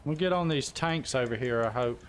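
A man's voice: medium pitch, fairly quick, a little hiss or hum. A man live streaming and talking casually through his gameplay with other players.

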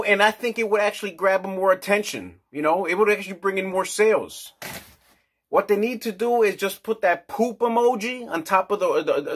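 A man's voice: high-pitched, fairly bright tone, breathy edge. A man in his forties giving a casual, crude and rambling rant in a small indoor office. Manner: brash, crude, slangy, rambling. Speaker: vlogger.

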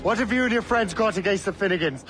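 An actor playing a plain-spoken, quietly defiant young man, the wandering hero of a video game story. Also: sits high, quick pace, soft background music, poor audio. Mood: challenging. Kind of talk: video game cutscene acting.

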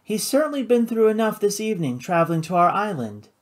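A woman's voice: medium pitch, very animated, quick pace, pitched above the performer's own voice, close to an everyday voice. A woman voicing an elegant, wealthy femme fatale with coolly formal, cooing delivery in an audiobook. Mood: cool, gracious. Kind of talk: audiobook character dialogue.

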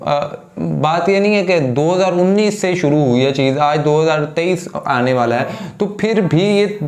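A man's voice: fairly high pitch, animated, unhurried, a little mellow. Young man, roomy echo. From Pakistan. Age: young adult. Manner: rambling, many fillers and restarts. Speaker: reaction channel co-host. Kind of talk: casual commentary. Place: small indoor room. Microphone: lapel mic. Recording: roomy small-room echo.

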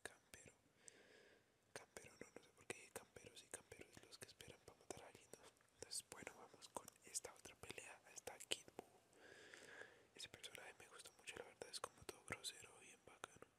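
A woman with a Colombian accent doing playful, slangy ASMR game commentary in a breathy whisper. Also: fairly quick. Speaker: ASMR gamer.

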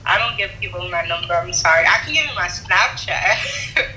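A woman's voice: on the low side, animated, moderate pace, a little hiss or hum. A woman in her twenties on a phone video call, chatting in an amused, easygoing way. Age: 20s. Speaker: video chat stranger. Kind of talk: casual chat. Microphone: phone front camera at arm's length. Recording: echoey, bright phone-speaker audio.

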